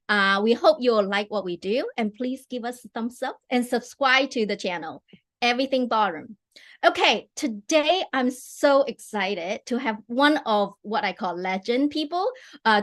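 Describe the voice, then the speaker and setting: A middle-aged woman hosting a live online interview over a video call, chatty and enthusiastic, with many fillers and restarts. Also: a little high. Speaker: host.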